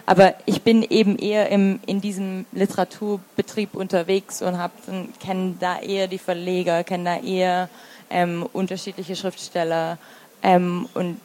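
Flat-toned German woman with a Swabian accent. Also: lowish voice, slight background noise, low-quality recording. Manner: thoughtful, many fillers and restarts. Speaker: literary translator and review editor. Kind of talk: interview answers.

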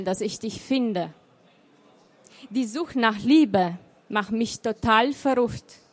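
A middle-aged woman whose first language is Romanian, with a Romanian accent, reading her poems in a deliberate, emotional way. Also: fairly high pitch. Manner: slow, deliberate, emotional recitation. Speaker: poet and author. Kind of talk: poetry reading.

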